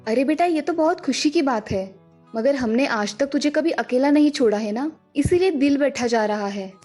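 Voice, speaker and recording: A caring and slightly anxious village mother from a cartoon tale , acted by a woman. She speaks in a high voice over background music. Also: expressive, normal speed, darkish tone. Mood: worried. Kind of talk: children's cartoon story voice acting.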